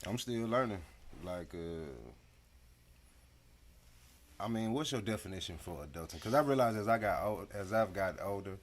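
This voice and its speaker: Deep, laid-back man, thirty-one. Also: lively intonation, fairly quick. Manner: laid-back, drawling, slangy. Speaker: podcast guest. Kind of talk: casual chat.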